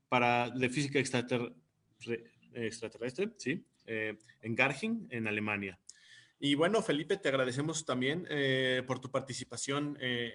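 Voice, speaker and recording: A man's voice: mid-range voice, fairly bright tone. Man introducing a guest, stumbling on names. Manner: halting, stumbles over foreign names. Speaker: event host. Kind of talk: introducing a speaker.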